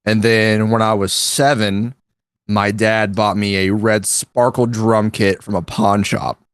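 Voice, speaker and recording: Man in his thirties, lower voice, laid-back and slangy, dry close sound. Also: flat delivery, a little slow, clean tone, no echo. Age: 30s. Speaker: guest drummer. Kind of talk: casual podcast chat.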